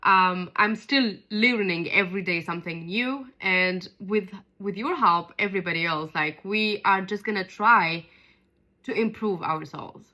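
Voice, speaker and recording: Woman co-host, Arabic first language, clear bright tone, hesitant word-searching chat. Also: medium pitch, a little slow. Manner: hesitant, searches for simple words. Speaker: podcast co-host. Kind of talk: casual chat.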